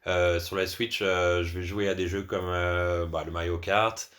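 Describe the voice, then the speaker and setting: A man's voice: low-pitched, flat delivery, measured pace, fairly bright tone. A man with an accent from France, a podcast guest telling personal anecdotes in a casual, conversational way. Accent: French from France.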